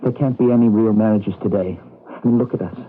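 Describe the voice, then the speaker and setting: A man's voice: fairly low pitch, steady tone, narrow, tinny audio, lightly acted. An actor in a 1980s radio drama playing a restless, disillusioned young husband, hesitant and defensive in his talk. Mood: bitter, defensive. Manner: hesitant, defensive, with halting stammered starts. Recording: band-limited old radio broadcast, music underneath.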